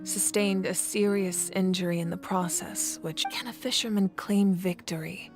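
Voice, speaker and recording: A woman playing a composed, dignified leader in a video game, wise and reassuring, with a measured, earnest delivery. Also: medium pitch, fairly even intonation, music in the background, natural voice. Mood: reflective. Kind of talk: video game character voice acting.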